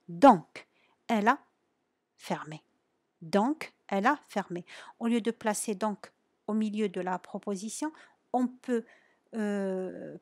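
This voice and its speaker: A woman's voice: fairly high pitch, darkish tone, no echo. Dry-sounding woman teaching grammar. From Algeria. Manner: asks questions then answers them, stresses key words. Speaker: French teacher. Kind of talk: grammar lesson with questions to students.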